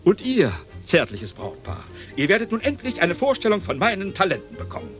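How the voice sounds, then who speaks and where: An actor playing a flamboyant, grandiloquent uncle who performs in a nightclub, in a pompous, theatrical radio play style. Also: male voice, sits high, huge pitch swings, brisk. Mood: exuberant. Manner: pompous, theatrical, florid declaiming. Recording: music playing behind.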